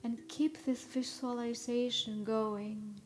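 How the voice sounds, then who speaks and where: A woman leading a live guided meditation in a soothing, instructional way, with long pauses between short phrases. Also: on the high side, steady tone, soft background music. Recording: live recording with clear background noise.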